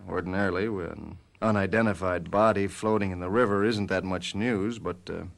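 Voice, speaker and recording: Casting: measured police lieutenant, low creaky voice, official but hesitant, old-style radio play. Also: male voice, fairly even intonation. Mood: measured, probing. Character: businesslike, tactful male police lieutenant. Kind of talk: golden-age style radio drama acting.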